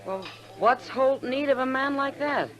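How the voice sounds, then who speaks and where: A woman's voice: sits high, measured pace, lo-fi sound. An actress playing a plain-spoken American frontier saloon woman in a 1950s radio western, casual and friendly. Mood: curious, familiar. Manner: casual, frank. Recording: old radio recording, music behind.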